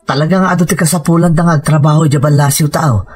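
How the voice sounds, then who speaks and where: An actor with an Ilocano accent playing an earnest, worried husband and devoted son in an audio drama. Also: male voice, on the high side, fairly even intonation, rapid-fire, faint music behind, natural voice. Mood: hopeful.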